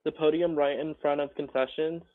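A man working in cinema customer service, speaking politely and haltingly on a phone call, often repeating himself. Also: a little high, fairly even intonation. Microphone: telephone call. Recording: tinny muffled phone line.

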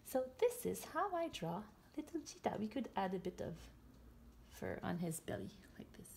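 Creaky-voiced woman explaining simply to children. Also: medium pitch, sing-song, normal speed, darkish tone, slight background noise. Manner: simple explanations in short phrases, talking while drawing. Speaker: children's author and illustrator. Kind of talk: storytime and drawing demonstration for children.

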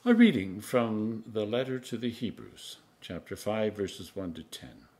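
Older clergyman reading scripture, measured and solemn. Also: lowish voice, very animated, a little mellow. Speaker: Anglican priest. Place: home kitchen, indoors.

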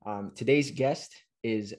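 A man's voice: fairly low pitch, darkish tone. A young man in his twenties hosting a podcast over a video call from a small room at home, upbeat and friendly. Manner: upbeat, friendly, quick affirmations. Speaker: podcast host. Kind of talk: casual video-call interview. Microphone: webcam or laptop mic on a video call.

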